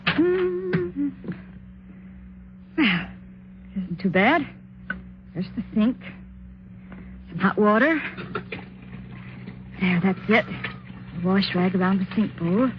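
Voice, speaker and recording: An actress playing an anxious young wife in a 1950s radio suspense drama, speaking in a tense, hesitant, dramatic way. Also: female voice, mid-range voice, expressive, slow, pauses often, noisy background. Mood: uneasy. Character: anxious young wife narrating her frightening mystery. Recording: dull vintage radio, music underneath.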